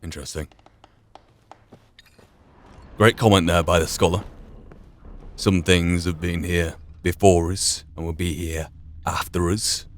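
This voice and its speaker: A man giving measured, reflective commentary and reading aloud during a video game playthrough. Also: low voice, steady tone. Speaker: gaming channel player.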